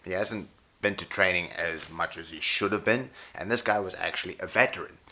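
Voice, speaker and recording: A man's voice: low voice, brisk, sharp tone. A man, a pro wrestler and jiu-jitsu coach, talking in a rambling, wry, unscripted way about his injuries; his voice tires easily. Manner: rambling, self-correcting, wry. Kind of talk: unscripted casual talk to camera.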